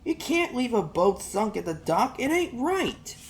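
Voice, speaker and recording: Man playing indignant country boy, raised high pitch, urgent, rustic grammar, audiobook character, slight noise. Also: very animated, unhurried, heavily acted. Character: upset, defiant working-class boy, pleading and indignant.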